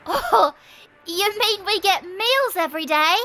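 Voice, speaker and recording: Very high-voiced, eager little street girl. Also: expressive, a little slow, bright, forceful tone, heavily acted. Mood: timid, grateful. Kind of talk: audio drama acting.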